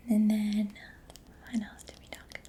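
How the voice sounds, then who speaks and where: A woman doing a soft-spoken ASMR roleplay as a mechanic, in a breathy whisper with a gentle, hesitant, reassuring manner. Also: medium pitch, completely flat delivery, unhurried, a little hiss or hum.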